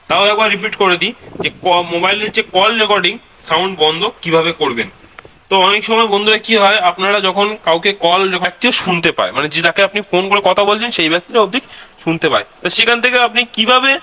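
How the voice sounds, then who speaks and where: A man's voice: high-pitched, pushed and bright, poor audio. A man hosts a casual step-by-step tech tutorial, addressing viewers directly and repeating his instructions.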